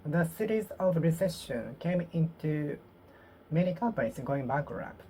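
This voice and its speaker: A Japanese woman with a Japanese accent practicing English aloud to herself, halting and repeating phrases as she corrects them. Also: low voice, animated, mellow, slight background noise, low-quality recording. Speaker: vlogger. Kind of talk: solo English speaking practice, talking to herself.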